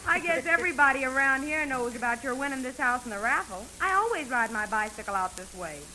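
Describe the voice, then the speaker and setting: High, bright, shy girl. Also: expressive, fast talker, poor audio. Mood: friendly, shy. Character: sweet, shy, eager young neighbor girl. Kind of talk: 1940s radio comedy acting. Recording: hissy 1940s radio broadcast.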